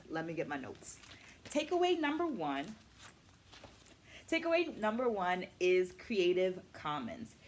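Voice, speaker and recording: A middle-aged woman, an educator, hosting a book vlog with deliberate, instructional delivery and emphatic enunciation. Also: medium pitch. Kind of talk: book review vlog, explanatory talk to camera.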